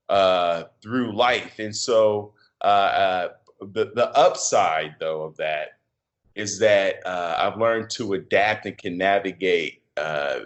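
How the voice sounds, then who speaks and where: Deep-voiced guy from chicago, podcast guest, lots of uhs and restarts, low-pitched and thoughtful. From Chicago, USA. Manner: reflective, halting, with many fillers and repeated starts. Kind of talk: casual interview conversation.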